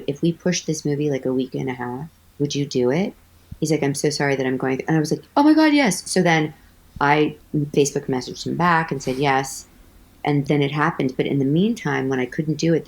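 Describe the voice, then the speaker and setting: A woman with a low voice, chatting animatedly as an actress guest in an interview, telling stories with lots of "like". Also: low-quality recording. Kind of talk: casual interview storytelling.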